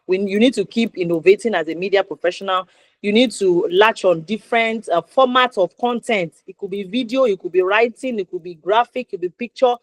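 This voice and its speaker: A woman host with a Nigerian accent, recorded dry with very little room sound. She speaks at a middle pitch in a conversational way, stressing and repeating her points as she encourages listeners. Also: fairly quick, no echo. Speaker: talk show host. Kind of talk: conversational interview show hosting.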